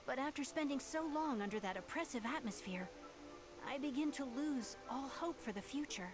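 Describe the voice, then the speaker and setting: A woman playing an earnest young female refugee in a video game, telling things in a measured, sincere way. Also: high-pitched, lively intonation. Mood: grave. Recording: game music behind the voice.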